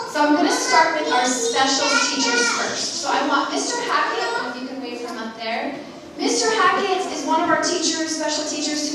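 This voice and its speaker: A woman presenting at a school awards ceremony, thanking parents and introducing teachers in an upbeat way. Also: high voice. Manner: warm, upbeat, thanks and praises the audience. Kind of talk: speaking to an audience at a school ceremony. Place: church hall. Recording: echoey hall, distant mic, audience noise.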